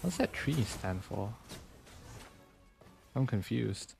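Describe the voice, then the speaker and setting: A man's voice: fairly low pitch, lively intonation, slow pace, gravelly, darkish tone. A young man giving casual, chatty live gameplay commentary as a streamer, thinking aloud in a laid-back way. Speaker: game streamer, virtual YouTuber. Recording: game music behind the voice.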